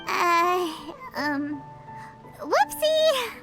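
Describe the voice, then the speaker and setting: A woman voicing a tiny, cheeky, excitable fairy companion in an anime game, with a squeaky childlike voice and perky, exclamatory delivery. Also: drawn-out words, exaggerated voice. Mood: sheepish. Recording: game music behind the voice.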